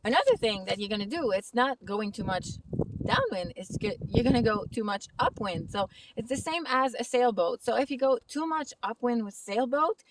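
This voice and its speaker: Woman with french canadian accent, chatty lesson outside, highish voice, distant mic. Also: very animated, heavy background noise. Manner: casual, chatty, slightly halting English. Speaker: kitesurfing instructor. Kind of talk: outdoor tutorial vlog. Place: snowy lake outdoors in winter.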